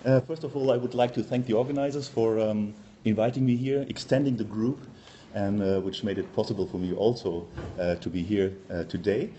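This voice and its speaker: A man's voice: lowish voice, brisk, mellow, dull audio, a little hiss or hum. A middle-aged man with a German accent giving an academic workshop presentation, hesitant and full of ums and uhs. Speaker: university lecturer.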